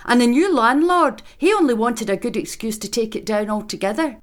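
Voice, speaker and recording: A fretful, self-pitying landlady of an old country inn, put on by a male reader, pitched far above his own voice. She wails her troubles in old-fashioned country speech, piling complaint on complaint. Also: fairly quick.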